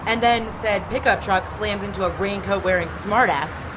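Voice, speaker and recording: An actress in an audio drama playing a sarcastic, exasperated, bossy female police detective with a sharp, commanding manner. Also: mid-range voice, normal speed, background noise, close to an everyday voice.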